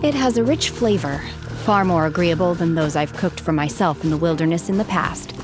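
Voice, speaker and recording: A woman's voice: on the low side, fairly quick, music in the background, natural voice. An actress playing a reserved, detached young woman who speaks formally and evenly. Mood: calm. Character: aloof, reserved young woman trained by an immortal master. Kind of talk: game voice acting.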